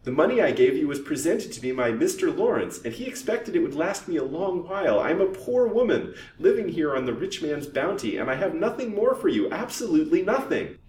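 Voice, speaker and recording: A man's voice: animated, fairly quick, natural voice. A man voicing a scheming, hot-tempered widow from a genteel storybook melodrama, indignant and formal. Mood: furious, desperate. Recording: roomy, distant microphone, faint rumbling noise.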